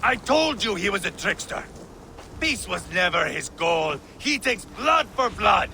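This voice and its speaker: Sharp, gravelly, high-voiced Viking warlord. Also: male voice, sing-song, measured pace, a little hiss or hum, exaggerated voice. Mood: vengeful. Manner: intense, swinging from hushed to roaring. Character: fierce, vengeful male Viking warlord, hot-tempered and grieving. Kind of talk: video game voice acting.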